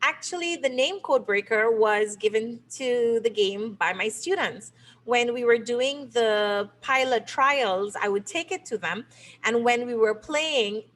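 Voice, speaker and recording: A middle-aged woman from Trinidad and Tobago answers interview questions. She explains her ideas patiently, the way a teacher would. Her voice sits a touch higher than usual. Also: a little slow, fairly bright tone. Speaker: game creator and teacher.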